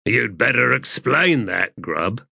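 Narrator playing a crude sardonic sergeant, soldier slang, raised bright voice, lively. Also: male voice, medium pitch, takes it slowly, vocal fry, slightly rough, dry recording, studio quality, exaggerated voice. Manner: coarse soldier slang, sneering. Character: gruff male marine sergeant, sardonic and crude.

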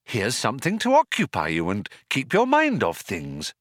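A man's voice: fairly high pitch, wildly swooping pitch, measured pace, fairly bright tone, no echo, clean studio sound, pitched above the performer's own voice, full character voice. Man voicing a patient, lilting, compassionate woman. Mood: brisk, firm. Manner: lilting, soothing.

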